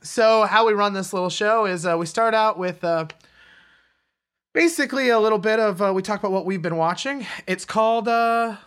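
A man's voice: high-pitched, moderate pace, a bit bright, smooth. A man moderating a podcast as co-host, in casual, informal banter with his friends.

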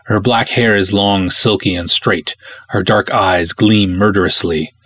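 A man's voice: fairly low pitch, steady tone, a little slow, a bit bright, no echo. An American man from Minnesota with a playful, theatrical manner, reading and hosting expressively as a podcast host. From Minnesota, United States.

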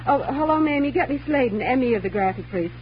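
Brisk, high-voiced woman crime reporter. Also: animated, a little hiss or hum. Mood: businesslike. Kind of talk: 1940s radio drama acting. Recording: muffled, humming old radio recording.

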